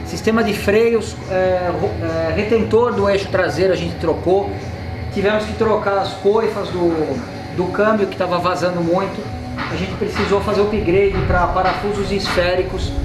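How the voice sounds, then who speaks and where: A Brazilian man in his forties, a mechanic hosting his channel, explaining repair work while gesturing at a car in an indoor workshop. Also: high-pitched. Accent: Brazilian Portuguese. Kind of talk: casual workshop vlog talk. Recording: echoey workshop with music underneath.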